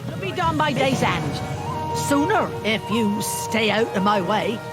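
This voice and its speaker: An actress playing a gruff, blunt, proud shipwright in a video game cutscene. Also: female voice, on the high side, very animated, unhurried. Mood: curt. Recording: loud music over the voice.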